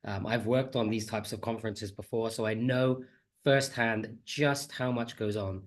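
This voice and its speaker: A man's voice: fairly low pitch, fairly even intonation, measured pace. A man with a slight cold giving a chatty, friendly online conference talk as a teacher trainer, on a computer mic over a video call. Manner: friendly, chatty, self-deprecating.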